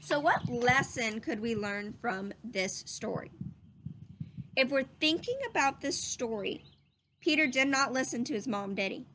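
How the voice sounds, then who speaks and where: A woman's voice: a little high, expressive, a little slow, background noise. A woman reading a children's story aloud in a teacherly, explanatory way, as if speaking to young listeners.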